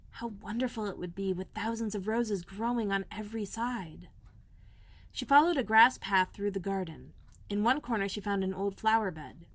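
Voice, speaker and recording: Woman, mid-pitched voice, storybook narration, measured, expressive, read-aloud for children. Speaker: elementary school librarian, host.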